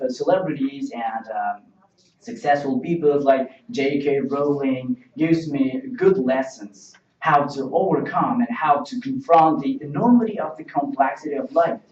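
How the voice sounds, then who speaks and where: A man's voice: medium pitch, a little mellow. A young man whose first language is Uzbek, playing a job candidate in a mock interview and answering carefully in rehearsed English with many fillers. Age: young adult. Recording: distant, roomy, dull lo-fi sound.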